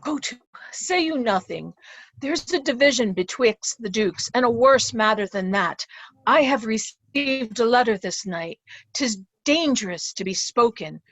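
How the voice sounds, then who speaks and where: A woman playing a secretive, anxious, loyal nobleman in an amateur reader's theatre Shakespeare drama, speaking in a measured, confiding way. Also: fairly high pitch, expressive, a bit bright, no room sound, full character voice, rough recording. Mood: secretive, urgent. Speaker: amateur stage actor.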